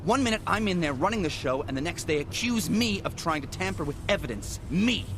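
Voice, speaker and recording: An actor playing a chatty, jittery, defensive forensic investigator in a video game, excitable and rambling with hesitations. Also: male voice, fairly high pitch, brisk, noisy background, natural voice. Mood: agitated, indignant. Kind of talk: video game cutscene acting.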